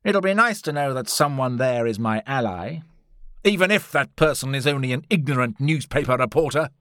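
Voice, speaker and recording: Storybook role: defiant, bitter explorer-scientist acted by a male narrator, raised pitch, emphatic and declamatory. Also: lively intonation, normal speed, fairly bright tone, no room sound, studio quality, close to an everyday voice. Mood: grudging, condescending. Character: loud, bitter, hot-tempered adventurer-scientist, scornful and defiant.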